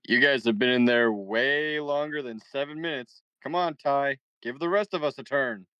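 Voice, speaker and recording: A man's voice: medium pitch, normal speed, smooth, no room sound, highs cut off, lightly acted. A man playing a rowdy, exuberant, flirty party host in a comedy table read. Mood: impatient.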